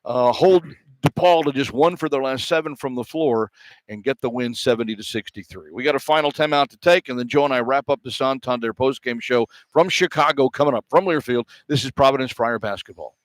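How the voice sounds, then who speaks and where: A man's voice: mid-range voice, brisk, no echo, poor audio. Older male radio sportscaster, close and dry. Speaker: play-by-play radio sports broadcaster. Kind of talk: postgame sports commentary with casual banter. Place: courtside at a basketball arena. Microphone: broadcast headset mic.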